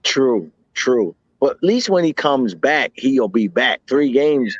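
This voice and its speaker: A man co-hosting a podcast, chatting casually about football, talking in quick bursts and repeating himself. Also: medium pitch, no room sound, muffled recording. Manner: animated, talks in bursts with repeats. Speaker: podcast co-host. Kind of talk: casual sports chat.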